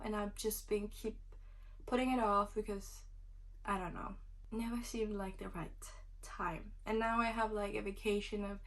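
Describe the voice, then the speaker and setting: A young woman in her twenties talks casually to the camera from her bedroom, in English that is her third language. She rambles through her answers, leaning on "like" as a filler. Her voice sits at a middle pitch, and her phrases often fade into a breathy whisper at the end. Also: poor audio. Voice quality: speaks English as a third language, a non-native speaker. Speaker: student vlogger.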